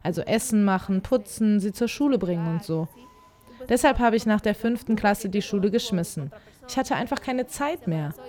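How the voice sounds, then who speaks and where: A woman voicing a dubbed first-person account in a plain, quiet manner. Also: medium pitch, animated, moderate pace. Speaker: voice-over translator. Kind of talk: voice-over dubbing in first person.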